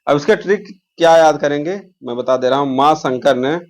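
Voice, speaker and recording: A man's voice: on the high side, normal speed. Man teaching an exam class. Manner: instructional, repeats key terms and asks rhetorical questions. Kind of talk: informal exam lesson, explaining questions.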